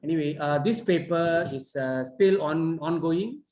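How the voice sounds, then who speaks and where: A man chairing an online conference session and giving feedback on a paper, halting and full of fillers and restarts. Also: a little high, a crowd in the background. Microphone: video call. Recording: roomy echo.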